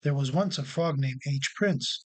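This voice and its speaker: A man's voice: medium pitch, measured pace. A man narrating a children's storybook as a read-along storyteller.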